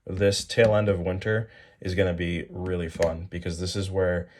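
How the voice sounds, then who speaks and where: A man giving a casual show-and-tell product rundown as a hobbyist video host, informal and somewhat halting. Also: deep voice, little pitch movement, slight background noise. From New England, United States.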